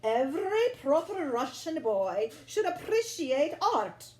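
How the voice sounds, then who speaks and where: A woman's voice: sits high, sing-song, takes it slowly, a little mellow, exaggerated voice. A woman voicing a prim, proper, dismissive aunt in a children's storybook. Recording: roomy home recording with faint noise.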